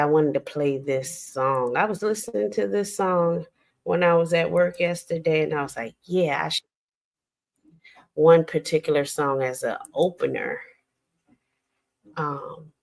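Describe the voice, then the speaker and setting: An older woman hosting a casual late-night livestream, talking in a slow, reflective, rambling way with many pauses. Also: deep voice, creaky. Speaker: livestream host.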